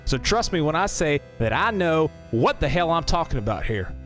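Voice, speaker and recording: Man playing a folksy smooth-talking carnival boss. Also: on the high side, big pitch swings, normal speed, a bit bright. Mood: genial, persuasive. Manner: genial salesman patter with frequent chuckles and folksy phrasing. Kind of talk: scripted audio drama acting. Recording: music behind the voice.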